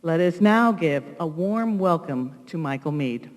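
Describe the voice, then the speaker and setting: A woman giving a solemn, deliberate welcome address as a congregation leader, with weighty pauses. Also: low voice, expressive, a little mellow, some chatter behind, a little hiss or hum. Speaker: congregation leader introducing a speaker. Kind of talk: prepared ceremonial welcome address. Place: large hall, live ceremony. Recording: reverberant hall.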